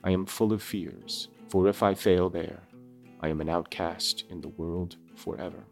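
A man plays a melancholy outcast creature from a gothic storybook, eloquent and earnest. The voice is fairly low, with solemn, formal, measured phrasing over music. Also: steady tone, vocal fry. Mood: sorrowful, fearful.

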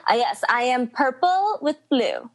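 A woman's voice: sits high, very animated, measured pace. Giggly Belgian-accented woman guest. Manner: giggly, brief answers. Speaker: guest listener. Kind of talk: casual interview.

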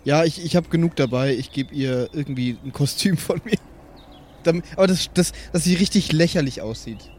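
Male tabletop gamer, somewhat high voice, informal improvised banter. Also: moderate pace, a little mellow, slight background noise. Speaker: role-play podcast player. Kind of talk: casual improvised role-play banter.

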